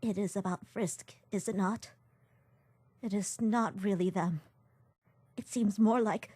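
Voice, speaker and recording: A woman's voice: medium pitch, slow pace, warm tone, husky, dry recording. A woman voicing a grieving, motherly goat-like former queen who speaks formally, in a comic dub. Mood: grave. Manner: formal, no contractions, tearful. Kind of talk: fan comic dub acting.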